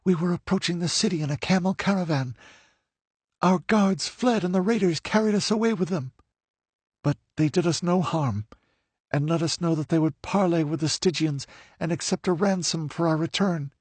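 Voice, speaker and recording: A man voicing a desperate young noblewoman held captive, pleading in a high, strained voice near tears. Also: moderate pace, slightly rough, no room sound. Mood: grieving.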